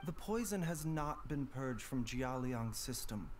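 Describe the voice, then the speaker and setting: An actor playing a gentle, secretive young doctor in a video game, speaking politely in measured, formal phrases. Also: male voice, mid-range voice, animated, noisy background, close to an everyday voice. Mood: calm, guarded. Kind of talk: scripted video game voice acting.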